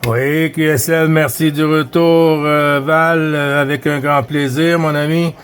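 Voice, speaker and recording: A man's voice: a little high, level tone, unhurried, clear, resonant tone. A man with a Quebec French accent running a CB radio session, speaking courteously in operator jargon. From Quebec, Canada. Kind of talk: CB radio contact chat.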